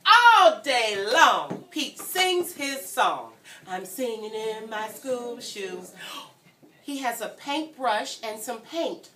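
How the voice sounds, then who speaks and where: A woman reading a story aloud to young children in an animated, teacherly way, prompting them to join in and breaking into sung refrains. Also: fairly high pitch, slow, slight background noise. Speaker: guest story-time reader for young children. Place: indoor room at a childcare centre. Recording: echoey room, distant mic, children around.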